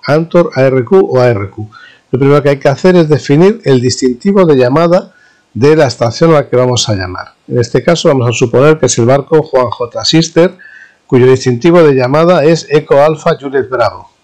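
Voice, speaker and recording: A man with an accent from Spain gives an informal technical tutorial as an instructor, explaining haltingly and checking in with his listeners. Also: medium pitch, a little mellow. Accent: Spanish (Spain). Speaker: university professor, instructor.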